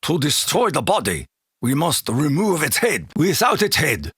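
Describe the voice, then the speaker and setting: An actor playing a proud, honor-bound Japanese warrior in a video game, with a Japanese accent, gravelly husky voice and solemn, formal, declamatory delivery. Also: male voice, on the high side, wildly swooping pitch, a little slow, fairly bright tone, no echo, studio quality, full character voice. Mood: grave, urgent. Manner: solemn, declamatory, formal clipped phrasing with dropped articles. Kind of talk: theatrical video game voice acting.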